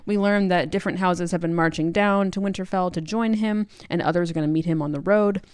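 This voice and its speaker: A woman's voice: fairly low pitch, steady tone, a little fast, darkish tone, smooth, dry recording. A woman co-hosting a casual book discussion podcast in a playful, teasing manner. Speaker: podcast co-host.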